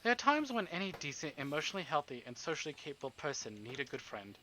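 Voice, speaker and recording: A man's voice: fairly high pitch, very animated, quick pace, pushed and bright, a little hiss or hum. A man live streaming, reading a story aloud and adding playful, exclamatory asides to his chat. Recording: music behind the voice.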